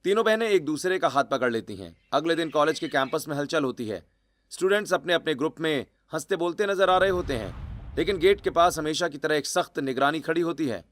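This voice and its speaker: Man narrating a story, slightly high voice. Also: lively intonation, brisk, fairly bright tone. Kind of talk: scripted Hindi story narration.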